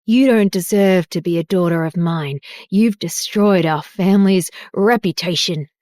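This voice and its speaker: A woman voicing an enraged middle-aged father who shouts with contempt. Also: on the low side, a little slow, no echo, clean studio sound, close to an everyday voice. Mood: furious.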